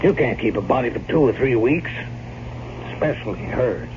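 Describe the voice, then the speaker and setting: An actor playing a grave, blunt family doctor in an old-time radio drama, speaking emphatically and with foreboding. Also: male voice, medium pitch, expressive, close to an everyday voice. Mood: insistent. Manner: emphatic, deliberate, ominous. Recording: dull, noisy vintage radio recording.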